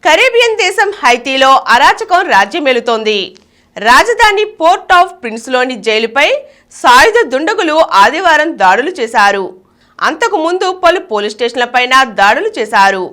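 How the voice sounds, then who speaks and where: A woman news reader delivers scripted bulletins. Her voice sits high and has a bright, forceful edge. Her intonation is animated, rising and falling widely through the copy. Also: normal speed.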